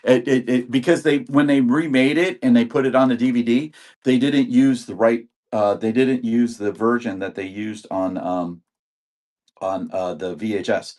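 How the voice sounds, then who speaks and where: A man in his sixties with a voice of average pitch, talking loosely and rambling on a live selling stream. He is getting over an illness and chats to viewers by name between items. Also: fairly even intonation, moderate pace, a little mellow. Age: 60s. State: recovering from illness. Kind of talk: live auction stream chat.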